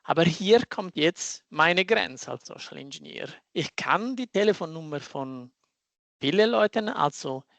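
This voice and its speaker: A man presents a live demo in halting German that is not his first language, with grammar slips along the way. His voice sits a little high and has a gravelly edge. The recording is dry and close. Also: expressive, a bit bright, no echo. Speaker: social engineer presenter. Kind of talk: live demo in an online talk.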